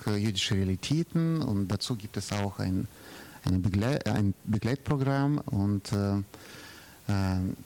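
A man with a fairly low voice acts as interviewer. He speaks off the cuff, haltingly, often searching for his words. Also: darkish tone, rough recording. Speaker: radio interviewer. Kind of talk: unscripted interview questions.

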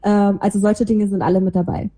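A woman's voice: mid-range voice, level tone, brisk, dark tone. A woman being interviewed, chatting casually and in a self-deprecating way about her own life and work. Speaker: interview guest, podcaster and small creative agency owner.

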